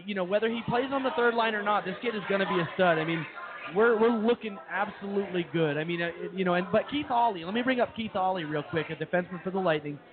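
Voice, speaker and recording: A man's voice: high voice, fast talker. A man co-hosting a casual sports talk show, talking with eager fan enthusiasm. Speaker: co-host. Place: brewery, live show. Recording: tinny phone-like sound with crowd behind.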